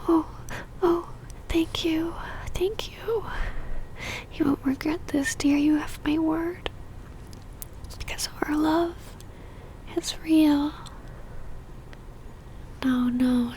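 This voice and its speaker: A woman playing a possessive, lovesick female vampire in an ASMR roleplay, whispering breathily in a halting, pleading and tender way. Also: sits high, dark tone, slight background noise. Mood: tender, needy.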